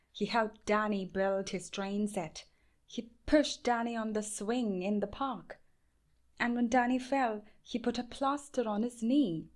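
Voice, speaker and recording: A woman with an Indian accent reading a children's bedtime story aloud in a measured, expressive way. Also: medium pitch. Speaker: storybook reader.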